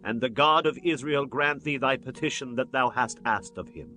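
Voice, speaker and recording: A man's voice: mid-range voice, moderate pace, sharp tone, lightly acted. A man playing a solemn priest of ancient Israel in a dramatized scripture reading, with an emphatic, declamatory manner. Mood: solemn blessing. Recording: music bed behind the voice.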